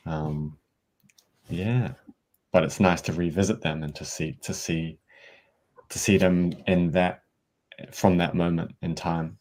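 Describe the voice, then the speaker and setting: A man's voice: low voice, steady tone, slow pace, long pauses, a little mellow. A man on an artists' panel speaking casually and hesitantly, often repeating his words.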